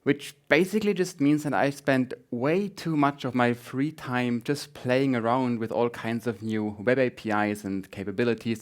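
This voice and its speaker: Male tech speaker, Swiss German accent, mid-range voice, informal and a little halting. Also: medium pitch. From Switzerland. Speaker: conference speaker, front-end developer. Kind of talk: conference tech talk.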